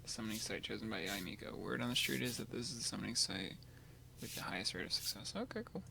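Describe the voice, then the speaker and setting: Creaky, quick-talking man over loud game noise. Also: lowish voice. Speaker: game player commenting over gameplay. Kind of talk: casual playthrough commentary.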